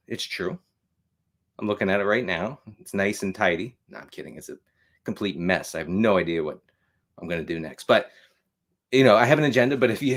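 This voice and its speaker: An American man aged forty hosting a casual livestream monologue, rambling and repeating himself with wry, self-deprecating asides. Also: medium pitch. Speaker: host of a live show on fatherhood.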